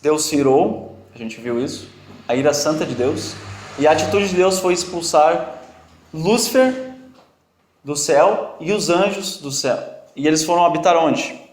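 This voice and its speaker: A male Bible teacher speaks with a strong foreign accent, his voice sitting fairly high. He keeps the class involved with questions and light teasing. The sound bounces around an echoey church room. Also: animated, moderate pace, a little hiss or hum. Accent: strong non-native accent in Portuguese. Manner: jokey, quizzes and teases students.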